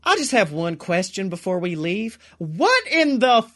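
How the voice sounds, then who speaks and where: A man's voice: sits high, sing-song, unhurried, a bit bright, full character voice. A man voicing a sassy, foul-mouthed female spaceship with drag queen attitude, haughty and scolding.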